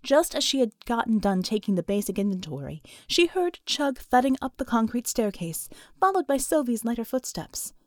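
A woman reading scripted fiction as an audiobook narrator, with expressive, dramatic storytelling. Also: a little high, normal speed, few pauses, no echo, clean studio sound.

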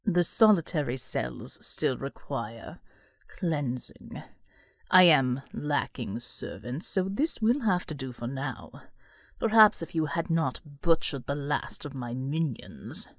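A woman voicing a grim, imperious man who speaks in a slow, cold, commanding way. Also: rumbling low, big pitch swings, dry recording, clean studio sound, voice pushed down, heavily acted. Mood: cold displeasure. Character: dark, grim man, cold imperious master lacking servants.

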